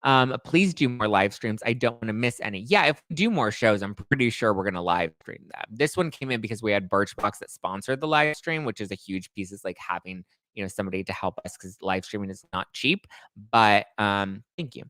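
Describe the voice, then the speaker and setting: A man's voice: lowish voice, animated, brisk, pushed and bright, dry recording. A man in his late twenties hosting a live stream, reading viewer comments aloud and answering them in a candid, chatty way. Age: 20s. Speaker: podcast host reading live chat. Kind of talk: casual live-stream chat.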